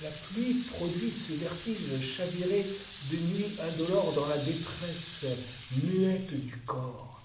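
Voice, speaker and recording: A man reciting a poetic dramatic monologue in a measured, deliberate way, with pauses between phrases. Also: fairly high pitch. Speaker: monologue performer. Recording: roomy, distant, noisy with water-like hiss.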